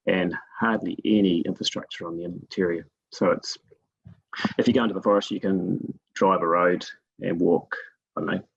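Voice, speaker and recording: A man from New Zealand with a gravelly, husky voice, telling his story conversationally as an interview guest. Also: sits low, steady tone, moderate pace, dry recording, highs cut off. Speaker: guest expert in forest monitoring.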